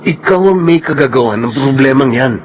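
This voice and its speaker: An actor playing a jealous, reproachful young boyfriend in a Filipino radio soap opera, with a Filipino accent. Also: male voice, mid-range voice, a little fast, lo-fi sound, a little hiss or hum, lightly acted. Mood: hurt. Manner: reproachful, dramatic.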